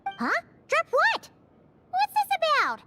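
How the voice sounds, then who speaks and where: A woman voicing a small, chirpy, curious fairy sidekick in an anime-style video game, squeaky and childlike, with a perky manner. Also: huge pitch swings, slow, full character voice. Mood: curious, skeptical. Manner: perky, refers to self by name. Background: background music.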